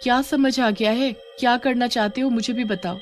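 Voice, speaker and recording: A woman's voice: a little high, fairly even intonation, moderate pace, faint music behind, voice pushed up, close to an everyday voice. A woman playing a cautious young schoolgirl in a horror story, with a questioning, fretful manner. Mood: reluctant, questioning. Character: cautious, doubtful young schoolgirl, easily frightened.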